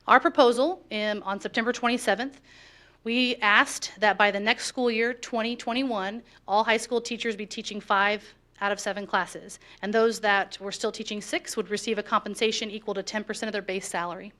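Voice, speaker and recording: A woman speaking for the teachers' union, reading a prepared presentation in a board of education meeting room in a steady, measured, firm way. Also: mid-range voice.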